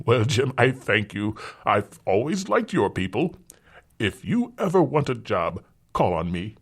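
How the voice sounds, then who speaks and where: Brief: male narrator as a condescending older father, pitched up, loud. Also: sing-song, measured pace, breathy edge, exaggerated voice. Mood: patronizing.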